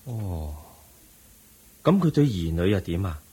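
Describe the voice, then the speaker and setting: The serene, wise Buddha. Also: male voice, low voice, big pitch swings, a little slow, husky, full character voice. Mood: serene, patient. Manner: gentle, patient, questioning like a teacher. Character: serene wise Buddha, calm male teacher. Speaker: Buddha in a radio play. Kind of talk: 1980s-style radio drama acting.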